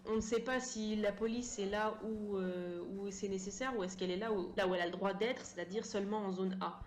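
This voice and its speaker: A woman in her thirties from France explains things informally as an interview guest, halting often and repeating words. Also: mid-range voice, steady tone. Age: 30s. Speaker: interview guest, sociology researcher. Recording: roomy echo, background noise.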